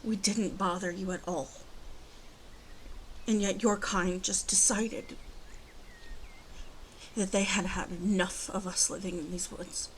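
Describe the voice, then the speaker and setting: A woman voicing a guarded, grieving female werewolf in an ASMR roleplay, halting and brooding, often dropping to breathy half-whispers. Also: mid-range voice, fairly even intonation, slight background noise, natural voice, poor audio. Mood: solemn, bitter. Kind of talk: ASMR roleplay monologue addressed to listener.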